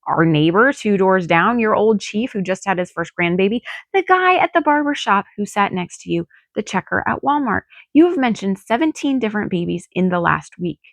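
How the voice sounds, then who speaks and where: Woman doing tired annoyed wife in a novel, hurt and snappy, creaky, dry recording. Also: mid-range voice, animated, moderate pace, hardly any pauses, no echo. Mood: accusing. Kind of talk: audiobook character dialogue.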